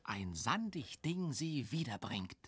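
An actor voicing a magical hint-giver in a children's witch game, reciting rhymes theatrically in a husky, breathy voice. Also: mid-range voice, very animated, takes it slowly, a bit bright, full character voice. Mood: mysterious, instructive.

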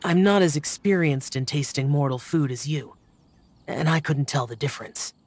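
A voice actor playing an aloof, reserved young immortal guardian in a video game, quiet and stiff. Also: male voice, a little high, normal speed, no echo, lightly acted. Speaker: game character.

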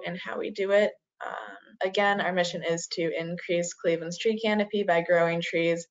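A woman giving an online presentation, starting many phrases with "uh" and "um". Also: on the low side. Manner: frequent "uh" and "um" fillers. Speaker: community forestry presenter. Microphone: video call. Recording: dull lo-fi video call audio.

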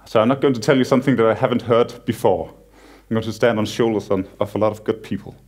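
Danish man, 30s, conference speaker on stage in a cinema hall, easygoing and joking, stumbles a bit. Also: lowish voice. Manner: relaxed, self-deprecating humor with frequent halting restarts. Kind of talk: conference talk, casual and joking.

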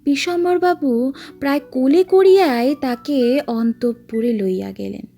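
A woman's voice: high-pitched, a little slow, vocal fry, mellow, soft background music. A woman narrating a literary story in a solemn, emotive, dramatic manner.

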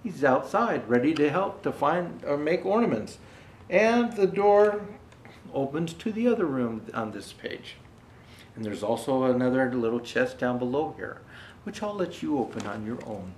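Casting: older man as children's storyteller, very lively intonation, slightly roomy echo. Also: medium pitch, moderate pace, a little hiss or hum. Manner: gentle explanatory asides to young viewers. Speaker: storyteller dressed as Santa Claus. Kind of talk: reading a children's picture book aloud. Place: indoor room with Christmas decor.

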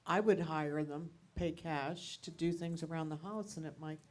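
A woman speaking up at a congregation meeting, soft-spoken and friendly. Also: low voice, normal speed. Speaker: congregation member.

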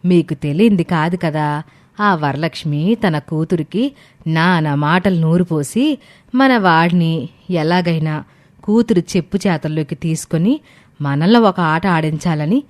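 A woman's voice: deep voice, a little slow. A woman playing a resentful, domineering older mother-in-law in a family moral story, scolding and insistent.

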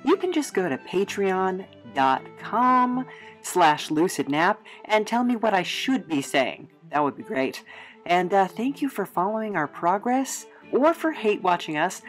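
A woman's voice: mid-range voice, very animated, a little slow, faint music behind. A woman narrating a casual, chatty travelogue as host, with playful, wry, rambling asides. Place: studio voice-over.

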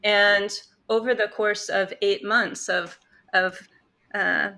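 A woman talking as a guest on a video-call podcast, thoughtful and reflective with many pauses, a little hoarse. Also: mid-range voice, steady tone, sharp tone. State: slightly hoarse. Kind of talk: casual interview talk. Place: home room, indoors. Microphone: earbud headset on video call.

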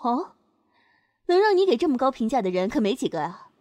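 Woman acting composed high-voiced student leader. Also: big pitch swings, a little fast, a little mellow, no room sound. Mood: composed, curious. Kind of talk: amateur Chinese audio drama acting.

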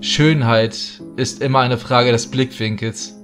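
A man's voice: medium pitch, steady tone, slow, fairly bright tone, faint music behind. A man voicing a disturbed, hesitant, heavyset older man in a horror audio story. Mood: unsettled, placating. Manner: hesitant, stumbling. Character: disturbed, heavyset older male bar guest.

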